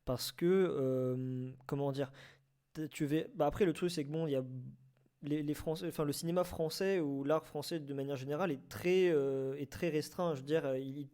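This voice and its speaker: A man's voice: a little high, steady tone, moderate pace, dark tone, smooth. A man in a casual debate, often groping for the right word and repeating himself. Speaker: panelist in a debate. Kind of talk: casual debate talk about audio fiction.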